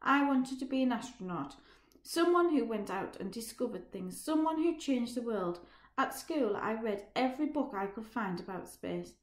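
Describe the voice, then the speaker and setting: Bitter, shouting young factory hand, read by a woman, higher-pitched voice, roomy sound. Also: natural voice. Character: angry young male factory worker. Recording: roomy echo.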